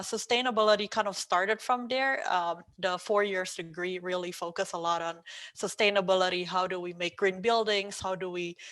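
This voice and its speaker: A woman, a podcast co-host talking about her own path in a casual interview, thoughtful and pausing often with ums. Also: fairly low pitch, a bit bright. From Indonesia. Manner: thoughtful, hesitant with frequent ums.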